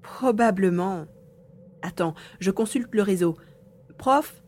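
A woman playing a wary, grumbling sidekick investigator in a science fiction audio drama, with an expressive, questioning delivery. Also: medium pitch, slow, slight background noise, lightly acted. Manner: expressive, questioning, self-talking. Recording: echoey room sound.

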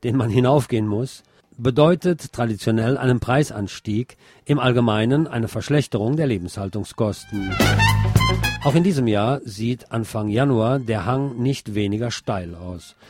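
A man's voice: medium pitch, normal speed, darkish tone, husky, no echo, faint music behind. A man reading scripted radio news as the presenter.

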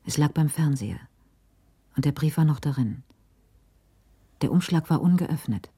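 A woman narrating a crime radio drama in a cool, measured, literary style. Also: low-pitched, lively intonation, warm tone, breathy edge, full character voice.

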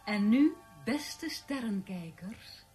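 Slow, portentous TV astrologer. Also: female voice, on the high side, lively intonation, mellow. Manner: solemn, portentous. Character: mystical, theatrical television astrologer addressing stargazers. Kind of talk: Dutch radio play acting, horoscope show presenting. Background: music.

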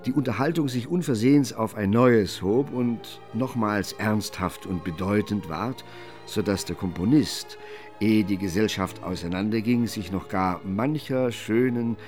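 A reflective male storyteller, played by an actor, narrates a period radio play in a lowish voice. His delivery is measured and literary, with clear music playing underneath. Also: darkish tone, lightly acted.

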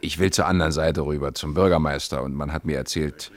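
An actor playing a mild, awkward male schoolteacher in a radio play, halting and placating. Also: a bass voice, fairly even intonation. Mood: hesitant. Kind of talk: 1970s German radio play acting.

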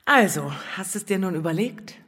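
A woman's voice: mid-range voice, animated, moderate pace, a bit bright, husky. A woman acting a hard-bitten, cynical streetwalker in a German radio play, dry and coaxing.

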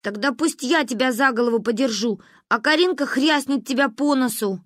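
A woman's voice: high-pitched, normal speed, a bit bright, no room sound, clean studio sound, pitched above the performer's own voice. A woman voicing a timid, easily hurt young girl in a story, worried and protesting.

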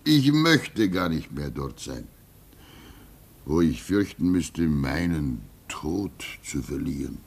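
A man in his sixties playing a weary, pious old keeper at a polar station in a radio play, speaking wistfully with soft laughs. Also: rumbling low, sing-song, slow pace, creaky, slightly rough, full character voice. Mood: reflective. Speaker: actor.

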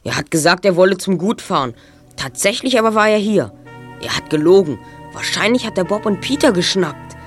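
A woman's voice: medium pitch, expressive, fairly quick, faint music behind, natural voice. A woman playing a brainy, self-reproachful young boy detective in an audio drama. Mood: dismayed, urgent.

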